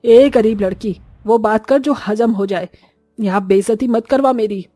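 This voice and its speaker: Woman acting haughty rich mother-in-law, imperious, high female voice, cartoon drama, close dry recording. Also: moderate pace, dark tone, no echo, faint music behind, natural voice. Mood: angry. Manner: imperious, contemptuous, commanding. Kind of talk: Hindi cartoon morality story acting.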